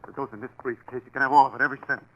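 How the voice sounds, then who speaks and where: An actor playing a frightened, cornered crook in a 1940s radio drama, stammering and pleading nervously. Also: male voice, medium pitch, fairly even intonation, very fast, natural voice. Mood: desperate pleading. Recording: noisy vintage 1940s radio broadcast.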